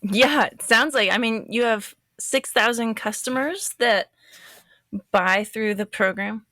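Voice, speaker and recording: Woman podcast host, bright forceful voice, dry close recording, friendly questioner. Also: on the high side, slow, no room sound. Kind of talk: podcast interview.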